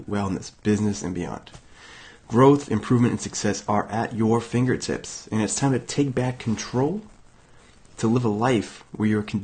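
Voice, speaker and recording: A young man from Massachusetts hosting a motivational self-help show, hoarse and raspy from illness, talking casually with many fillers and restarts. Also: on the low side, a little mellow. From Massachusetts, USA. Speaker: podcast host.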